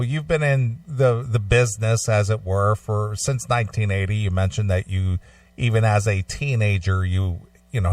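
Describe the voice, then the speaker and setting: A man hosting a conversational radio interview, speaking in measured, wordy phrases with hesitations. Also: fairly low pitch, no room sound, distant mic. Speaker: radio show host.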